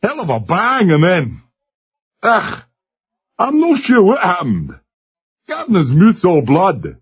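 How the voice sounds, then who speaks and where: Throaty young Glasgow sailor. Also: male voice, high voice, wildly swooping pitch, takes it slowly, pitched above the performer's own voice, exaggerated voice. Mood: shaken. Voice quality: throaty, husky, slurred. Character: young male Glasgow seaman, throaty, truculent. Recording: dull lo-fi recording.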